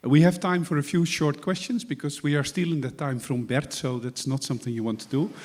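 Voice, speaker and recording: A man's voice: a little high, steady tone, brisk, darkish tone. A man moderating questions at a conference in an informal, conversational style. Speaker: session moderator.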